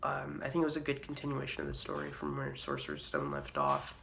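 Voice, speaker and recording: Hoarse young man with a gravelly voice. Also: medium pitch, big pitch swings, quick pace, bright, forceful tone. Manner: rambling, frequent ums and uhs. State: hoarse from a cold, sore throat. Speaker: vlogger. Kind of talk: casual vlog review.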